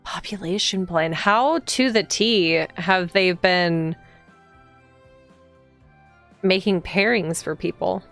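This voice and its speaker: A woman livestreaming a visual novel, reading the game text aloud and chatting casually as she thinks out loud and reacts to the story. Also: fairly low pitch, slow, creaky, long pauses. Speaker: streamer playing a visual novel. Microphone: streaming microphone. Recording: background music behind the voice. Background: game music.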